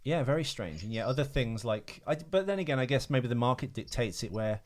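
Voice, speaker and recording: A man with a mid-pitched voice, talking as a podcast co-host. He rambles casually through trivia, hesitating and correcting himself as he goes. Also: expressive, a little fast, darkish tone.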